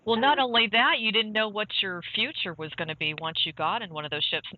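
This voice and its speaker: A man's voice: sits high, fast. A man from the southern United States chatting casually as an interviewer, with interjections and a conversational manner. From southern United States (Georgia, North Carolina). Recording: tinny phone-like call audio.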